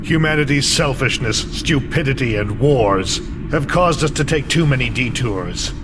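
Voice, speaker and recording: A man's voice: medium pitch, expressive, moderate pace, background noise, natural voice. A man playing a vengeful, coldly fanatical imprisoned scientist in a video game, speechifying in a grandiose, declamatory way. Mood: grandiose, commanding. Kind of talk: dramatic video game cutscene acting. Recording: dramatic music bed under voice. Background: loud music.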